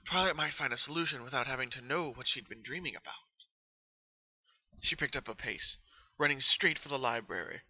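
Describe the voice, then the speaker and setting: A man reading a story as narrator, in an expressive, earnest dramatic style. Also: mid-range voice, fairly quick, dry recording, rough recording.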